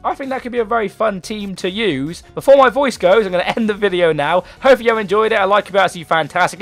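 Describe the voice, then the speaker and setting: Fast-talking young man with a sore throat. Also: high-pitched, fairly bright tone. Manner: chatty, rambling, enthusiastic. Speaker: gaming channel host. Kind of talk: casual gaming commentary. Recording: faint background music.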